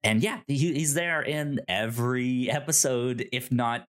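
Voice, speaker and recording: A man co-hosting a casual discussion of a TV show, halting and repeating words as he thinks. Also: medium pitch, fairly bright tone. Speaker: podcast co-host.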